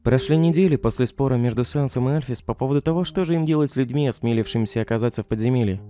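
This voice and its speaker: A man's voice: mid-range voice, very fast, warm tone. A man narrating a scripted story as the narrator of a comic dub.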